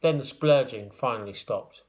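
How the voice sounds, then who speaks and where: A man narrates a children's book in the manner of a primary teacher at story time. His voice sits at a middle pitch, and he tells it expressively, leaning on the dramatic words. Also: normal speed, darkish tone. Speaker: primary school teacher reading aloud.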